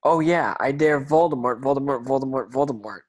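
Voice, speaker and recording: A man's voice: mid-range voice, a little fast, lightly acted. A man playing a defiant teenage boy wizard in an amateur table read, casual and cocky. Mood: cheeky, defiant.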